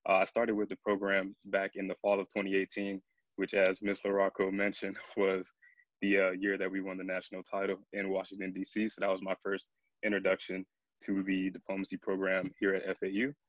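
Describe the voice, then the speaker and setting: A man's voice: sits low, monotone, fairly quick, fairly bright tone, no echo. An American man in his twenties informally introducing himself on a lo-fi video call from indoors. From Atlanta, USA. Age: 20s. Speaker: program ambassador. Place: indoor room with ceiling fan. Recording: dull lo-fi video call audio.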